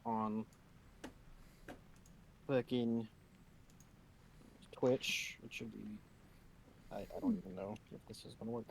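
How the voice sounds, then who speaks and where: A man in a noisy room with typing in the background chats casually as he streams a tabletop game. His voice sits at a middle pitch. He is blunt and joking, and curses freely. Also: expressive, takes it slowly, vocal fry, pauses often.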